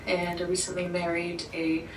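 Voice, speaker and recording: A woman's voice: on the low side, completely flat delivery, normal speed, roomy sound, distant mic, a crowd in the background. A young woman from Ottawa talking casually to camera in a sit-down vlog, rambling a bit with lots of "like" and small laughs. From Ottawa, Canada. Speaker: vlogger.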